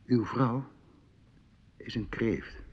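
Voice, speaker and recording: A man's voice: medium pitch, drawn-out words, husky. A man playing an enigmatic, insinuating musician guest in a radio play, speaking in a knowing, mannered, falsely modest way. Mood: knowing, mysterious. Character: enigmatic, insinuating male musician guest, self-styled clairvoyant. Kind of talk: naturalistic Dutch radio play acting. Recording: dull, lo-fi radio play recording.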